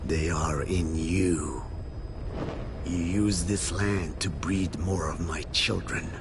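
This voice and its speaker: A man's voice: low voice, fairly even intonation, slow pace, noisy background. A man playing a grave, defiant old captive in a video game drama, speaking solemnly and with anguish. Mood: grave, accusing.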